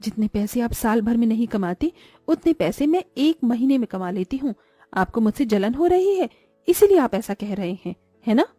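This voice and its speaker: A woman's voice: on the high side, sing-song, moderate pace, mellow, no echo, soft background music. A woman voicing a greedy, crafty mother who sells street food, with a persuasive manner, in a cartoon story. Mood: smug. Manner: self-justifying, persuasive.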